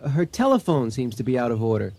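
A man's voice: mid-range voice, very animated, fast, darkish tone. An actor playing a worried married man looking for his aunt in a radio drama, speaking earnestly. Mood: polite, concerned.

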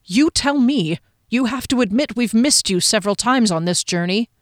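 A woman voicing a proud male fantasy captain in an audiobook, formal, deliberate and intense, holding down his anger. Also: on the high side, lively intonation, no room sound, clean studio sound, voice pushed up, lightly acted. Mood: frustrated, cold.

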